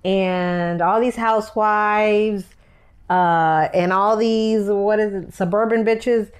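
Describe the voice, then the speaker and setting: Twenties woman, teasing and blunt, easy podcast chat with her husband, middle-pitched voice. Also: fairly even intonation, slow. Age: 20s. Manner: playful, teasing and frank, laughing often. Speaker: podcast co-host.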